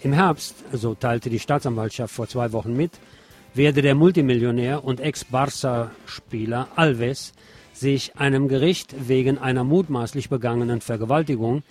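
A man's voice: medium pitch, moderate pace, darkish tone. A man reading scripted radio news and commentary in a wry, sardonic manner. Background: guitar music.

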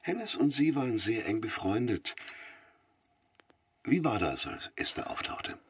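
An actor playing a polite but insistent, probing male investigator in a radio drama, with a husky voice. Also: mid-range voice, very animated. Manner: measured, pointed questioning. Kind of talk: naturalistic German radio drama acting.

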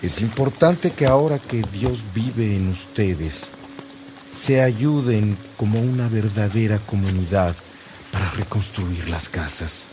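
Slow, deep-voiced Mexican bishop. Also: male voice, music in the background. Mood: caring, exhorting. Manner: solemn, deliberate, pastoral. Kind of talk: Spanish-language radio drama acting.

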